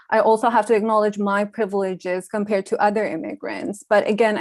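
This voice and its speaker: A young woman from Iran, a Farsi speaker, giving a measured, reflective conference talk with frequent ums. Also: mid-range voice, no echo. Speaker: panel speaker, design researcher.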